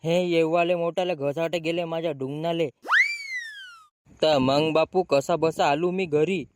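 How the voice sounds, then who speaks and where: A man's voice: on the high side, fairly even intonation, measured pace, background noise, lightly acted. A man voicing a young village lad in a comedy cartoon, telling silly mishaps in an earthy rural Maharashtrian accent. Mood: comic storytelling.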